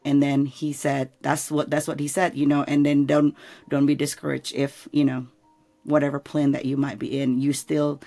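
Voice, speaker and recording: A woman's voice: low voice, completely flat delivery, moderate pace, dark tone, faint music behind. A middle-aged woman from Indonesia with an Indonesian accent, hosting a casual interview chat and searching for words between fillers. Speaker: podcast host.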